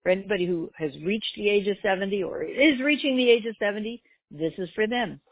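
A woman's voice: medium pitch, a little fast, breathy edge, dry recording. A woman giving a religious class, explaining with rhetorical questions and everyday examples. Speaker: Torah teacher. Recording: tinny phone-like line.

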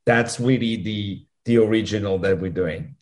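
A man with a French accent, a guest answering interview questions in a halting, effusive way with many restarts. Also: fairly low pitch. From France. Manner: halting, repeats words, warm and effusive. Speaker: musical producer guest.

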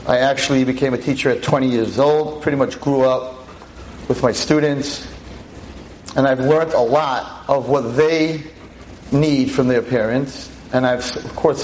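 A veteran teacher in his sixties lecturing an audience on a microphone, with a loud voice, emphatic and full of rhetorical questions. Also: mid-range voice, fairly even intonation, moderate pace, a little hiss or hum, rough recording. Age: 60s. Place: talk in front of an audience. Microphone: handheld or stand mic.

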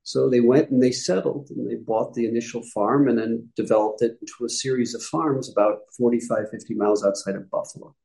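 A middle-aged man with a mellow, warm tone tells his story in a loose, explanatory way. He often stops to correct a word or add an aside as he goes. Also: mid-range voice, steady tone, fairly quick, gravelly, hardly any pauses, poor audio. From upstate New York. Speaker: guest rabbi. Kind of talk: conversational interview answers.

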